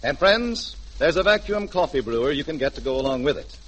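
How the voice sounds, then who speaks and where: A man's voice: fairly high pitch, lively intonation, fairly quick, fairly bright tone, husky, poor audio. A man delivering a polished, persuasive commercial as a 1940s radio announcer.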